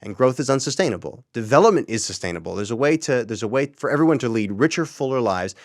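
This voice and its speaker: A man's voice: medium pitch, lively intonation, quick pace, no echo, clean studio sound. A man speaking as an interview guest, earnestly explaining his opinions in casual discussion.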